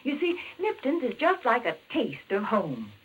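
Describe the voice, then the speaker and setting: A woman's voice: a little high, wildly swooping pitch, a little slow, slightly rough. A woman co-hosting and reading scripted commercials in 1940s radio style. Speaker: commercial announcer and co-host. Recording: muffled vintage radio with faint noise.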